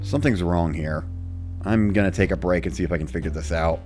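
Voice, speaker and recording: A man narrating in the first person with an expressive, dramatic delivery. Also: deep voice, a little fast, over loud music. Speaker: narrator of a dramatic reading series.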